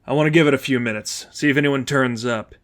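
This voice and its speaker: A man's voice: medium pitch, quick pace, close to an everyday voice. A man voicing a proud, impatient boy vigilante, curt and irritated, in a storybook reading. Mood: bossy.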